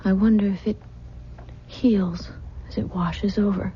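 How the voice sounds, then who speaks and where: An actress playing a listless, grieving young bride haunted by demonic dreams, withdrawn and brooding in an old-time radio drama. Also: female voice, medium pitch, steady tone, measured pace, a little hiss or hum, natural voice. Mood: withdrawn, dreamy. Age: young adult. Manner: withdrawn, brooding, breathy hushed intensity. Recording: muffled old radio recording with music.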